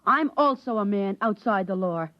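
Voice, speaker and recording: A woman's voice: medium pitch, expressive, moderate pace, lightly acted. An actress playing a curious, stubborn thirteen-year-old boy with a boyish, eager manner, in an old radio drama. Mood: eager, cheeky. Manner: boyish, eager and wide-eyed. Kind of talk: 1950s radio drama acting. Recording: muffled 1950s radio broadcast.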